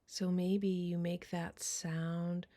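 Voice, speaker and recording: Slow, low-voiced woman guiding meditation. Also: flat delivery, warm tone. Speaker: guided meditation teacher. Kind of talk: guided meditation.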